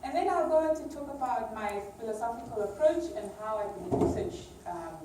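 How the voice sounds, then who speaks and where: A woman's voice: a little high, expressive, a little fast. Woman lecturing in a very echoey hall. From Uganda. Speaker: academic researcher giving an award lecture. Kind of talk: public lecture, semi-informal. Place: large echoey lecture room. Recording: distant mic, very echoey hall, clear background noise.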